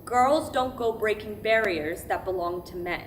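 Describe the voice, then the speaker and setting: A devout woman played by an actress tells her memories on stage in a slow, halting, emphatic way. She repeats words as she goes, and there is steady noise over the recording of the live reading. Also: medium pitch, natural voice. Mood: proud, wistful. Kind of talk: verbatim theatre monologue, staged reading.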